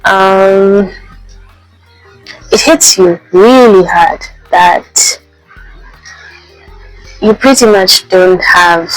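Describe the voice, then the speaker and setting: A young woman vlogging casually, speaking softly with a voice made raspy by a flu, pausing often with ums. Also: medium pitch, no echo, faint music behind, poor audio. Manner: soft-spoken, halting with many ums. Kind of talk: casual personal vlog. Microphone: handheld mic.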